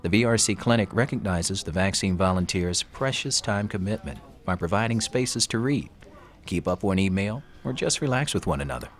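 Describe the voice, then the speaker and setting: A man giving calm, formal, scripted narration for an institutional clinic tour video. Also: deep voice. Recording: voice-over with loud background music.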